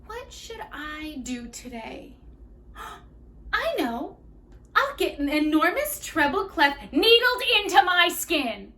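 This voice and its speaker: A woman's voice: high-pitched, pushed and bright, a little hiss or hum, full character voice. A woman acting a scathing, sarcastic, self-righteous young woman in a theatrical monologue, with biting mockery and emphatic stresses. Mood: mocking.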